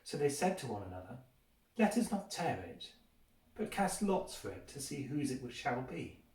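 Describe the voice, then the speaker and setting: A man reads scripture from some way off the microphone in a very echoey room. His delivery is dramatic and emphatic, lifting into raised quotations from a medium-pitched base. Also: sing-song, normal speed, darkish tone, distant mic. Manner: emphatic, raises voice on quoted speech. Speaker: scripture reader.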